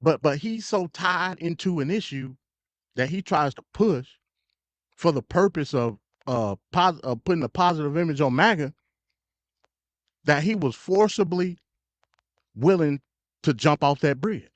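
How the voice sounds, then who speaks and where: A man's voice: on the high side, expressive, unhurried, pauses often, no room sound. An American man hosting a live stream with casual, opinionated political commentary, emphatic and slangy, repeating himself and stuttering. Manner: emphatic, repetitive, slangy, conversational. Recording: dull, lo-fi live stream audio.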